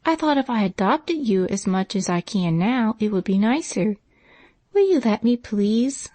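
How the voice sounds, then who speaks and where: Figure: eager young girl, voiced by a woman. Pitch: raised. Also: lively intonation, darkish tone, no echo, lightly acted. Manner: talks very fast and eagerly.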